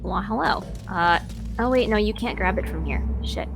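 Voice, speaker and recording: A woman's voice: mid-range voice, normal speed, gravelly. A woman in a casual, playful chat, speaking as an interviewed voice actor while playing a video game. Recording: music in the background.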